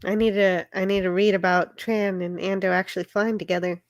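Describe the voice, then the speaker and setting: A woman's voice: medium pitch, little pitch movement, a little fast, no room sound. A woman chatting casually as co-host of a livestream. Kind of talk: casual chat.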